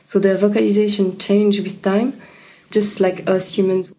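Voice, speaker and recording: Female scientist guest; mellow, even-toned, hesitant phrasing. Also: mid-range voice, normal speed, poor audio. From Switzerland. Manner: thoughtful, hesitant, many ums and uhs. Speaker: biologist guest. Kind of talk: interview answers.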